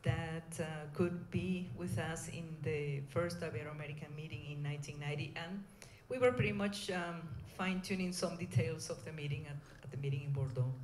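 A middle-aged woman with a Venezuelan Spanish accent gives an informal conference talk, speaking in a hesitant, halting way. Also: sits low, a little mellow. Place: indoor conference room. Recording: echoey room, clear background noise.

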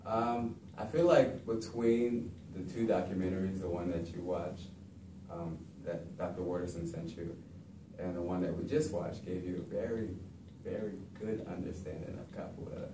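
A young man teaching about capoeira in a relaxed, conversational way, recorded from across a classroom. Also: low-pitched, moderate pace. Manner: relaxed, conversational, frequent "you know". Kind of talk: informal classroom talk. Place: large classroom, indoors. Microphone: far camera. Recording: echoey room, distant mic, background noise.

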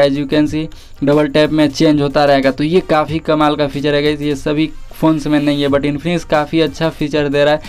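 A man's voice: a little high, a little mellow, smooth. A young man giving a casual tech tutorial voice-over, addressing viewers familiarly and mixing in English words. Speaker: tech tips video presenter. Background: background music.

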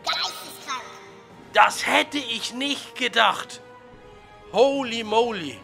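Man in his twenties, gaming commentator, bright forceful voice, gravelly edge, slangy, hyped, has a cold. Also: extremely high pitch, lively intonation, slow, faint music behind. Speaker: gaming YouTuber. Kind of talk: excited gameplay commentary.

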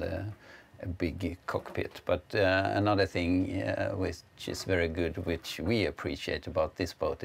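A Swedish man in his fifties giving a casual tour of his sailboat, speaking English with a Swedish accent and pausing often with "uh". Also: sits low, vocal fry, slight background noise. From Sweden. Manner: hesitant, many "uh" fillers, friendly. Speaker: sailing vlogger giving a boat tour. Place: wooden cabin of a sailboat, indoors. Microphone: clip-on lapel mic.

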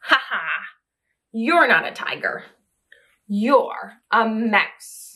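A woman's voice: on the high side, lively intonation, drawn-out words, bright, forceful tone, exaggerated voice. A woman voicing a small, boastful, teasing mouse in a picture book who insists it is a tiger.

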